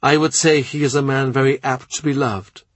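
A man's voice: mid-range voice, steady tone, normal speed, dry recording, voice pushed up, lightly acted. A man voicing a bold young woman who talks in a confiding, teasing way, in a storybook reading.

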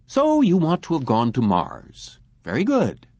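A smooth, genial middle-aged salesman, as a man reads him pushes his pitch emphatically. The voice sits higher than a plain read and swings lively up and down. It sounds close and dry. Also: unhurried, no room sound, highs cut off, heavily acted. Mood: persuasive.

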